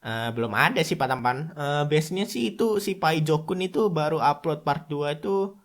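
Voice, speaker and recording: A man's voice: fairly high pitch, animated, normal speed. A man voicing a young boy in a cartoon, polite and anxious, explaining things hesitantly and repeating himself. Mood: sheepish. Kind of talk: cartoon voice acting in a comedy horror skit. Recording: voice under loud instrumental music.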